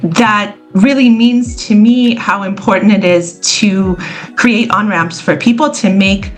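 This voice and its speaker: A woman born in Israel and raised in the United States, a scholar answering interview questions in a clear, explanatory way. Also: medium pitch, a little slow. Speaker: scholar and guest.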